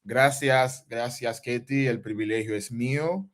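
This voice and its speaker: A man's voice: medium pitch, measured pace. A man from Haiti with a Haitian accent touched by Dominican Spanish, a pastor explaining ideas patiently with everyday examples. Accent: Haitian with Dominican influence. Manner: didactic, uses everyday examples, rising questions. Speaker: pastor, guest. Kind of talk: reflective interview answers.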